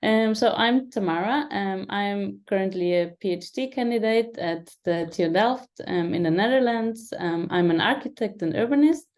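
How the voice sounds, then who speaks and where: A woman's voice: mid-range voice, normal speed, few pauses, a little mellow. A woman PhD candidate introducing a conference session on a video call, hesitant with many ums. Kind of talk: conference presentation. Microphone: computer mic on video call.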